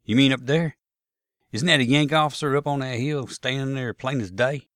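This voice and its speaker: A man voicing a gruff, pushy Confederate soldier with a Southern drawl, scolding his comrade in a story reading. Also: mid-range voice, a bit bright, no echo, studio quality, voice pushed up, close to an everyday voice. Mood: incredulous, needling. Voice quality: slow drawl. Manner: insistent, scolding, folksy.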